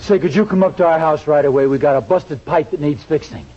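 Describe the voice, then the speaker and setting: A man plays a mild, easily flustered husband from vintage radio comedy. His voice sits high and his delivery is hesitant, on a dull, noisy old recording. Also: fast, natural voice. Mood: pressing, anxious. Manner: hesitant, stammering. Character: flustered, mild suburban husband in trouble at home. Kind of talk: 1940s radio comedy acting.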